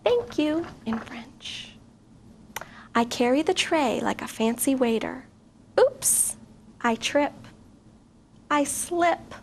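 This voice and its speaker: A middle-aged woman teacher reading a picture book aloud to children, expressive and asking young listeners questions. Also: high voice, slow, vocal fry, pauses often, a little hiss or hum. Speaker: guest reader, teacher.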